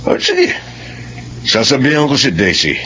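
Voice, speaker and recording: Good-natured family friend, middle-aged, Filipino, unhurried, expressive pitch, radio drama, dull audio. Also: male voice. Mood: encouraging. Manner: unhurried, encouraging. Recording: muffled radio drama with faint noise.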